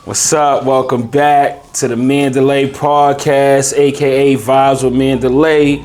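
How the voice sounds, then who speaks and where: A man's voice: mid-range voice, little pitch movement, darkish tone. Playful man hosting a podcast. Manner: playful, teasing, quick reactions. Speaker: podcast host. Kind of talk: casual podcast chat. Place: bakery.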